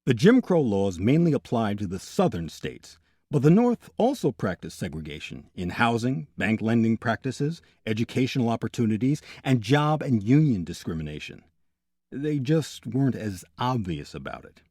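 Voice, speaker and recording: Husky, expressive male documentary narrator. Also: mid-range voice, normal speed, no room sound, studio quality. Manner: serious, emphatic list-making. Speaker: narrator and host.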